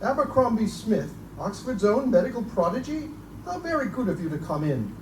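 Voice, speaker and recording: A man playing an eccentric, erudite Victorian gentleman, pompous and musing, in a live reading of gothic horror. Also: high voice, very animated, normal speed. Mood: wary, courteous. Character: eccentric, erudite Victorian Oxford gentleman obsessed with Egyptian relics. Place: live performance in a bookstore. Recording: echoey, distant live recording, faint noise.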